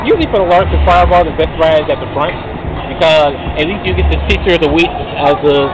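A young man giving casual, halting commentary full of interjections while riding a fairground ride outdoors. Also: a little high, expressive. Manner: halting, interjection-heavy reacting. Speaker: amusement ride vlogger. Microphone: handheld camera at arm's length. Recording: loud fairground crowd and ride machinery noise.

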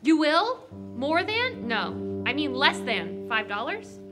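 A woman's voice: high-pitched, sing-song, slow pace, over music, heavily acted. A woman playing a meek, dutiful farm boy who talks hesitantly in a live children's radio play. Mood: unsure. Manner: hesitant, obedient. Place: live performance in a library room.

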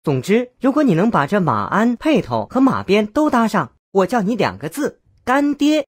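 A woman voicing a cheeky, quick-witted young soldier girl disguised as a man, in a cartoonish game. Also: medium pitch, wildly swooping pitch, breathy edge, dry recording, studio quality. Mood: confident. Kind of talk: cartoonish video game acting.

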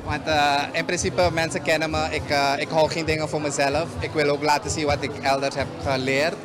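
A man's voice: on the high side, little pitch movement, a little fast, over music. A middle-aged man artist in a casual interview at a gallery opening, hesitant and repeating words, speaking into a handheld mic. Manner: hesitant, many fillers and repeats. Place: busy gallery opening, indoors.

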